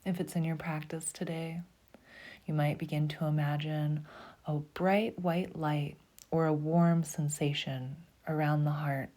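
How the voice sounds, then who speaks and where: Woman, meditation guide, low pitch, mellow warm tone, breathy half-whisper, slow soothing pace. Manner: slow, soothing, even pacing.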